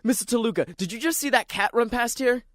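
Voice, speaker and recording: A performer voicing an excitable, jittery library regular who tells the story in dramatic, self-questioning first person, as in a radio drama. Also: medium pitch, quick pace, dry recording, voice pushed up, natural voice. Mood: insistent, pleading. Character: jittery, excitable library regular narrating his own strange chase.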